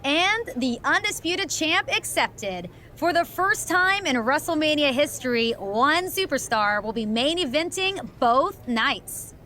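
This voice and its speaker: A woman's voice: high-pitched, very animated, unhurried, few pauses, pushed and bright, slight background noise, heavily acted. A woman playing an upbeat, polished wrestling show presenter in a video game. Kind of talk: sports broadcast presenting.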